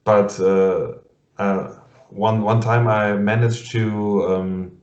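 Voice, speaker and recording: A man with a German accent interviewing a guest over a video call in English, halting and full of fillers and restarts, laughing often. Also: on the low side, flat delivery, a little mellow. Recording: muffled lo-fi sound in an echoey room.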